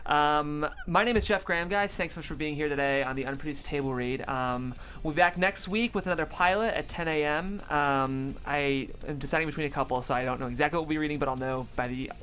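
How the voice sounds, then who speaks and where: A man's voice: fairly high pitch, fast, few pauses, a bit bright, a little hiss or hum. A man hosting a panel show in casual talk, chatty and effusive with thanks and praise. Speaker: host of a script table-read show.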